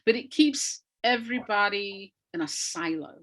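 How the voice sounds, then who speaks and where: A woman speaking as an interview guest, answering conversationally in a reflective, emphatic way with pauses between phrases. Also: mid-range voice, expressive, a bit bright. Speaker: interview guest, arts practitioner.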